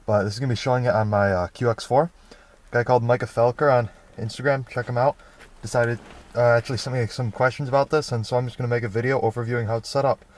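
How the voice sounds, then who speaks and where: A young man giving a relaxed, rambling how-to walkthrough while filming outdoors in the snow and inside his car on a handheld camera. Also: medium pitch, quick pace. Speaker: vlogger.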